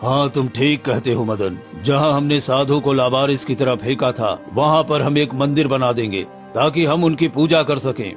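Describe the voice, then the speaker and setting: A man's voice: medium pitch, moderate pace, hardly any pauses, soft background music, close to an everyday voice. A man playing an earnest, repentant village headman in a Hindi cartoon moral story. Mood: relieved, earnest.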